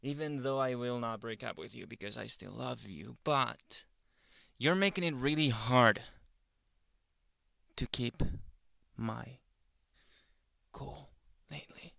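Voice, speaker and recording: A man playing a grumpy, possessive yandere boyfriend in an ASMR roleplay, brooding and theatrical, often dropping into breathy whispers close to the mic. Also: mid-range voice, slow, pauses often, sharp tone, no room sound, exaggerated voice. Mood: strained, exasperated. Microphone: close ASMR microphone.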